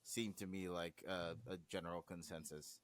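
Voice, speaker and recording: A man's voice: low voice, steady tone, normal speed, no room sound. A man whose first language is Swahili, a music producer talking animatedly in a casual interview, often trailing off and restarting.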